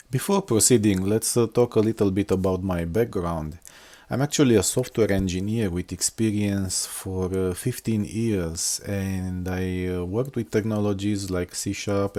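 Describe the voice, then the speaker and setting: A middle-aged man from Romania talks slowly through a screen walkthrough, pausing often and filling gaps with fillers. His voice is low and mellow. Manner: unscripted, hesitant, many fillers. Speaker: software engineer and blogger. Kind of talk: casual screen-recorded walkthrough.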